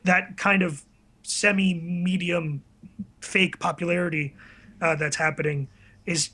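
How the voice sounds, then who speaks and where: A man's voice: fairly high pitch, fairly bright tone. A man talking casually as an interview guest, earnest and a little hesitant, putting himself down modestly. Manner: earnest, hesitant, self-deprecating. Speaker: interview guest, web video creator. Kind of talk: casual conversational chat.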